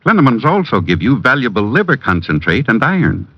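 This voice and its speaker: A man's voice: mid-range voice, sing-song, a little fast. A man delivering a 1940s radio commercial as a reassuring, emphatic druggist. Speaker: advertising pitchman playing a druggist. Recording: muffled old radio recording.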